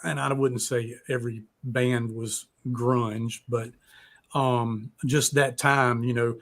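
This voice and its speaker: A male talk show host with a mid-pitched voice. He chats off the cuff, rambling through his thoughts with plenty of filler words and hesitations. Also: little pitch movement, takes it slowly, fairly bright tone. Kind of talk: casual, unscripted chat.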